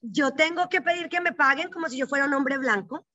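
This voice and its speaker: A middle-aged woman talks through her life story as an interview guest, earnest and reflective, often checking in with short tag questions. Her voice sits high and sounds bright and crisp. The recording is dry and slightly dull, like a lo-fi setup. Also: fairly quick, no echo. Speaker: guest, psychologist and bank executive.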